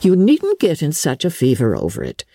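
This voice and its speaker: A woman doing a stern older storybook spinster who meets every fuss with curt, dry remarks. Her voice is low and dark, recorded close and dry. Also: huge pitch swings, moderate pace, no room sound, exaggerated voice.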